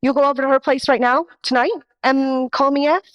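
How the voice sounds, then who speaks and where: An actress playing a nervous, pleading nightclub singer with a hesitant manner, in a 1940s radio detective parody. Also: female voice, high voice, steady tone, brisk, lo-fi sound, lightly acted. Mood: anxious evasive. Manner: hesitant, imploring. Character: nervous, pleading female nightclub singer, a femme fatale client.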